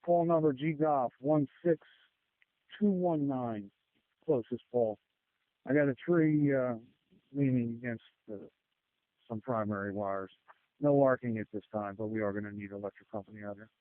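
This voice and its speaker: A man's voice: mid-range voice, moderate pace, pauses often, no echo. Terse male fire officer on narrowband radio. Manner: terse, procedural, matter-of-fact. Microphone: two-way radio. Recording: phone-like narrowband two-way radio.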